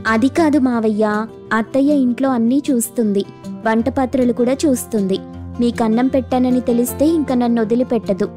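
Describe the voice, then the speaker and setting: A woman's voice: a little high, moderate pace, mellow, soft background music, lightly acted. A woman playing a meek, dutiful young daughter-in-law who speaks timidly and respectfully in a cartoon moral story. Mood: worried, kind. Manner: deferential, timid. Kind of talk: dramatic Telugu moral story cartoon dialogue.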